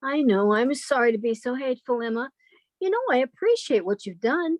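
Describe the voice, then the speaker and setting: A woman's voice: fairly high pitch, lively intonation, fairly quick, dry recording, lightly acted. A woman playing a sheltered, timid, wistful woman of thirty-five in an old-time radio drama, plaintive and questioning. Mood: apologetic.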